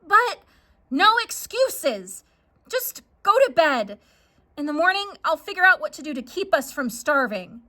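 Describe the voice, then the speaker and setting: A woman's voice: high-pitched, big pitch swings, unhurried, bright, forceful tone, voice pushed up, exaggerated voice. A woman voicing a poor, worried farm mother in a children's story, stern and emphatic. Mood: scolding.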